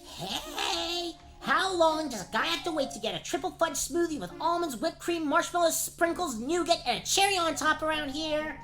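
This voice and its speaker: A woman's voice: sits high, lively intonation, moderate pace, hardly any pauses, fairly bright tone, soft background music, pitched above the performer's own voice. A woman voicing a dim, greedy, good-natured little boy in a comedic radio play, dopey and polite. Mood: greedy, impatient. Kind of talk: comedic radio play, gag-dub cartoon acting.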